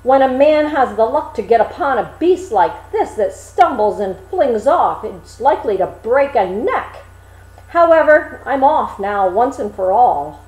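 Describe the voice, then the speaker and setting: A woman's voice: high-pitched, a little mellow, roomy sound, exaggerated voice, rough recording. A woman voicing a cheerful, naive young man from a storybook, exclaiming in an eager, artless way. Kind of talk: storybook character reading.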